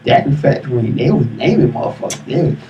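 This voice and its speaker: A man's voice: medium pitch, animated, quick pace, highs cut off. A man in his late twenties co-hosting a live streamed talk show, chatting casually in slangy banter. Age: late 20s. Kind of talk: casual chat. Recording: noisy, distant live stream mic.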